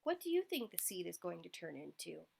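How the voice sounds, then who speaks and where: A woman's voice: fairly low pitch, sing-song, a little fast, gravelly, darkish tone, husky. A woman storyteller reading a picture book aloud to young children, expressively, addressing her listeners directly.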